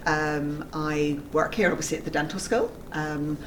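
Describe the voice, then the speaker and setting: A woman in her forties with a notably low voice talks in a friendly, measured way, often pausing on an um. She sits a little back from the microphone, with faint hiss behind her. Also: fairly even intonation, distant mic. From Belfast, Northern Ireland. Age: 40s. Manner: measured and friendly, frequent ums. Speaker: dental school consultant and tutor, interviewee. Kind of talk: relaxed interview chat. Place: dental school, indoors.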